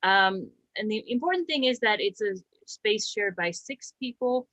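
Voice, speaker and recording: A woman, presenting slides about her research in a brisk, explanatory way with a light joke here and there. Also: mid-range voice, expressive, a bit bright, no room sound, low-quality recording. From Slovakia. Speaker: astrobiologist and habitat director.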